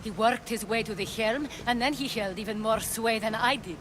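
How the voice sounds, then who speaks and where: An actress playing a composed, calculating former cult leader in a video game cutscene, with a measured, persuasive delivery. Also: female voice, a little high, background noise, lightly acted. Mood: calm, confessional. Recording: music behind the voice.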